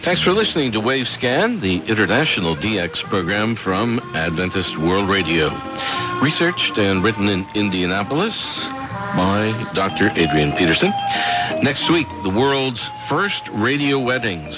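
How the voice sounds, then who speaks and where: Man, low voice, American accent, radio host, clearly enunciated reading, shortwave broadcast, music in background. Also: big pitch swings, normal speed, lo-fi sound. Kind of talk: shortwave radio mailbag show, reading letters and announcements.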